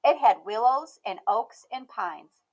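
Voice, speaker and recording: A woman reading a children's picture book aloud as an expressive narrator. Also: on the high side, a little slow. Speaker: storybook read-aloud host.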